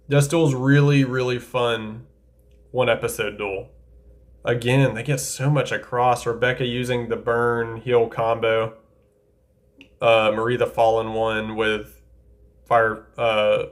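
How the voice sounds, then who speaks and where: A man's voice: mid-range voice, flat delivery, slow pace. Chatty man ranking anime duels. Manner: chatty, enthusiastic, thinks aloud with self-corrections. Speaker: anime tier-list creator. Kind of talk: casual tier-list commentary.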